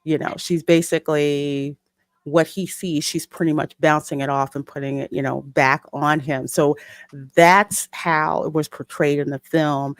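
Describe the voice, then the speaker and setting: A woman with a low voice talks in a casual, explanatory way as a podcast host. The sound is close and dry, with very little room around it. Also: fairly even intonation, unhurried, no room sound. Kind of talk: casual discussion.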